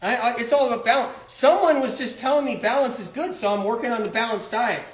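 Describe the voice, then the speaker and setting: A man's voice: very high voice, quick pace, reverberant. A man doing a smug boastful diet bragger. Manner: mocking, sing-song, boastful. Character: smug young man bragging about his balanced diet.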